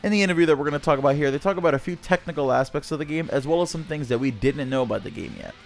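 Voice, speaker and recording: A man's voice: on the high side, racing, faint music behind. A man reading scripted video game news as a channel host, presenting a written interview.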